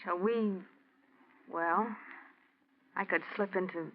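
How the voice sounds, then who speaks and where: An actress playing a conniving, plain-spoken rural wife with a pointed, folksy manner in a 1940s radio drama. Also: female voice, fairly low pitch, steady tone, takes it slowly. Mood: suspicious, scheming. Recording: muffled, noisy 1940s radio broadcast.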